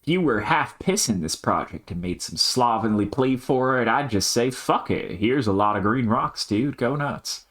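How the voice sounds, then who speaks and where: A man voicing an aloof, pedantic artificial intelligence in amateur scripted dialogue, deadpan and brusque, with wordy, technical phrasing. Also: mid-range voice, moderate pace, hardly any pauses, lightly acted. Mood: measured, firm.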